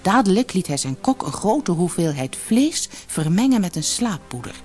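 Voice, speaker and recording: Woman, fairy-tale narration, slightly low pitch, lively melody, expressive, soft music behind. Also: moderate pace. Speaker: audiobook storyteller.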